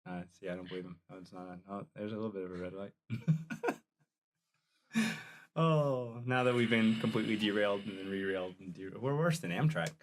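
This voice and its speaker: A man's voice: fairly low pitch, a little fast, pauses often, a little hiss or hum. A man hosting a casual podcast, joking and teasing his guest, laughing often. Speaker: podcast host. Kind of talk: casual chat.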